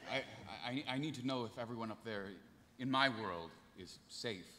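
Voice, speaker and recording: A man playing an earnest, solemn, dutiful hero who speaks haltingly at a live table read of a sci-fi comedy. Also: mid-range voice, bright, forceful tone, slight background noise, natural voice. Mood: earnest, pleading. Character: earnest, clean-cut, dutiful male hero, lovelorn. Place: large convention hall panel stage. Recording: echoey convention hall PA.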